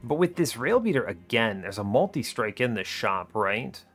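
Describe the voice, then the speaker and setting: Male gaming host, mid-pitched, casual and analytical, talking through decisions with faint music underneath. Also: big pitch swings, moderate pace, a bit bright, breathy edge. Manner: explains his reasoning as he plays, self-questioning. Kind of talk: casual strategy game commentary, thinking aloud. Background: music behind the voice.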